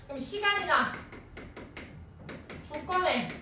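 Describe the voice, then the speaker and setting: A woman in her thirties teaching a grammar class in an animated, informal way, often quizzing students with questions. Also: high-pitched, takes it slowly. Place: indoor classroom at a chalkboard. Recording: echoey classroom from a distance, faint noise.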